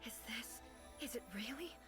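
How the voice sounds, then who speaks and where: A woman playing a proud, reserved female deity in a fantasy game, speaking in a restrained, serious and hushed way. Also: a little high, normal speed, over music, close to an everyday voice. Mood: hushed, moved. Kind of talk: scripted fantasy game voice acting.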